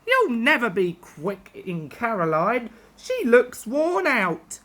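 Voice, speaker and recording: A woman's voice: fairly high pitch, wildly swooping pitch, takes it slowly, fairly bright tone, full character voice. A woman voicing a genial, practical male train driver in a children's story. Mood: thoughtful.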